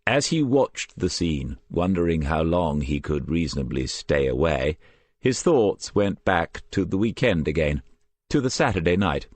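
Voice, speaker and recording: Deep-voiced man narrating crime fiction. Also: very animated, measured pace, dry recording. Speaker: audiobook narrator.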